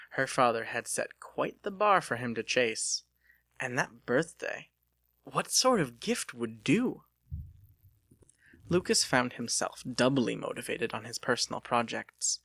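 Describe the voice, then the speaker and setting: Male audiobook narrator, mid-range pitch, dry recording, dramatic storytelling delivery. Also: fairly bright tone, breathy edge, no room sound.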